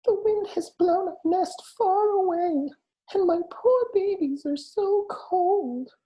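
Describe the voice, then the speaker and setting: A tiny mother field mouse with a very high, squeaky voice, fretful over her cold babies. Her voice sits far above the narrator's and comes out loud and thin. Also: slow. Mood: worried.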